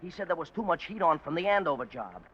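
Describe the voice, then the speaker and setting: An actor playing a whiny, evasive ex-convict informer with slangy, uneducated grammar in a 1950s radio detective drama. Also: male voice, fairly high pitch, very animated, fast, slightly rough. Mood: defensive pleading. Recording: muffled vintage radio recording.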